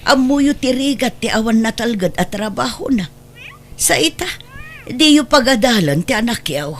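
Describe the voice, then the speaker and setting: A woman's voice: fairly high pitch, animated, moderate pace, slight background noise. An actress with a Filipino accent playing an older, worried mother and grandmother who scolds and laments in a radio drama. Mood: upset, lamenting. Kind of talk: Ilocano radio drama acting.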